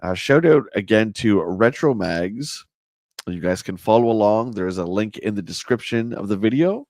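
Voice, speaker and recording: A man with a hoarse voice hosting a casual livestream, chatting and answering viewer comments. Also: on the low side, lively intonation, normal speed, darkish tone, no room sound. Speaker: livestream host. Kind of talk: casual live chat reading a magazine.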